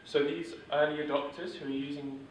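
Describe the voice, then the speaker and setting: A man leads a workshop in a loose, conversational teaching style with plenty of ums and restarts. His voice stays flat and even in pitch. It sounds caught by a distant mic in a very echoey room with a faint hiss underneath. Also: a little fast. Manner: steady, monotone delivery with filler words. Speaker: workshop instructor. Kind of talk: informal teaching talk.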